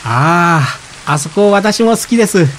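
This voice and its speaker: An actor playing a polite, gentle, self-effacing expectant father in reflective radio drama dialogue. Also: sits high, lively intonation, unhurried, a little hiss or hum. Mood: amiable. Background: rain.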